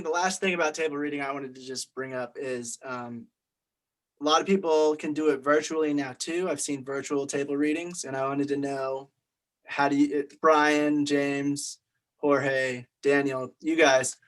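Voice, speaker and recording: A man with an average-pitched voice facilitates an informal meeting. He talks in a casual, conversational style and hands questions around to the group. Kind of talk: casual moderating of a group discussion.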